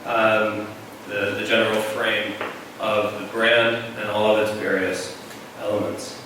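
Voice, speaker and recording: Lower-voiced man presenting in an echoey hall. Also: level tone, measured pace. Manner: explanatory, frequent "um" fillers. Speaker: journal team member presenting. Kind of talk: presentation to an audience. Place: conference room. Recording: echoey hall, distant mic, noisy.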